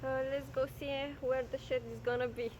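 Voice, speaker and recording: A woman's voice: sits high, flat delivery, fairly quick, a little mellow, slight background noise, poor audio. A woman in her thirties with a Romanian accent, chatting casually to vlog viewers outdoors. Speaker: vlogger. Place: outdoors at a building site.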